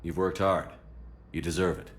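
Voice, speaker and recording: Man acting a low-voiced, steady military commander. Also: moderate pace, a little hiss or hum, lightly acted. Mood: calm, supportive. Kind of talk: video game voice acting.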